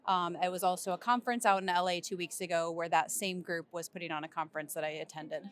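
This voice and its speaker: Woman, lowish voice, practical doctor, steady delivery. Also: fast talker. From Iowa, USA. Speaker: rheumatologist. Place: convention center floor.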